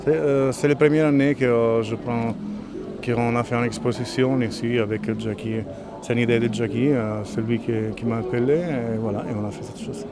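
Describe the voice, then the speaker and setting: A man's voice: mid-range voice, steady tone, moderate pace, noisy background. A man from Italy with an Italian accent, speaking casually as a photographer being interviewed outdoors. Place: outdoors at a jazz festival. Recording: crowd behind, outdoor event.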